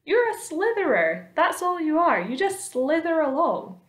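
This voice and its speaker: A woman's voice: fairly high pitch, big pitch swings, normal speed, pitched above the performer's own voice. A cocky rascal of a storybook centipede, acted out by a woman reading aloud. He is brash and bossy, proclaiming and teasing in exclamations. The room echoes around the voice. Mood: scornful. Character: boastful rascally male centipede, loud teasing pest. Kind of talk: children's storybook read aloud. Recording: roomy, echoing indoor sound.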